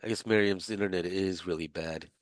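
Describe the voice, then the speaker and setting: A man's voice: low-pitched, fairly even intonation, fairly quick, no echo, studio quality. A middle-aged man teaching a class over a video call, talking casually with lots of fillers and restarts. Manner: rambling, many fillers and restarts. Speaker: instructor.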